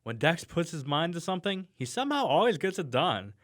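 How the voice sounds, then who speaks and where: A man's voice: fairly high pitch, sing-song, a little fast, a bit bright. A young man playing a reluctant, whiny, exasperated college student sidekick in an audio drama. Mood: concerned.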